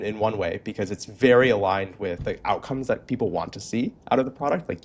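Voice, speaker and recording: Male podcast interviewer, lowish pitch, informal and conversational, thinks aloud while framing questions. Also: darkish tone.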